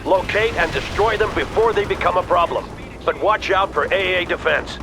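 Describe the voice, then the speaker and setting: An actor playing a stern, resolute army colonel in a military video game, speaking in clipped, authoritative orders. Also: male voice, high voice, moderate pace, noisy background, close to an everyday voice. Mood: firm, approving. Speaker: military commander.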